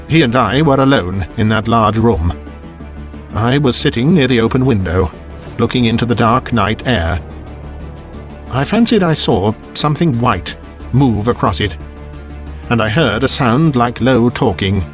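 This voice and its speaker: A man narrating a Victorian ghost story as an audiobook in a measured, deliberate storytelling manner. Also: mid-range voice, animated, faint music behind.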